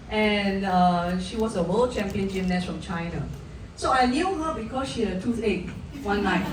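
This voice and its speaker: A middle-aged woman from Malaysia, a guest speaker telling her personal story at a live event, earnest, with many restarts. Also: medium pitch. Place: event hall. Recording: distant echoey hall mic, noisy.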